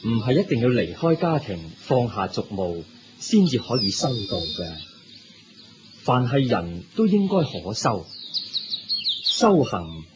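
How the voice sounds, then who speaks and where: An actor with a Hong Kong Cantonese accent playing the Buddha as a serene, kindly teacher in a radio drama, speaking in a measured, reassuring way. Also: male voice, mid-range voice, noisy background. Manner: measured, instructive, gently reassuring. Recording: muffled lo-fi radio recording, faint music.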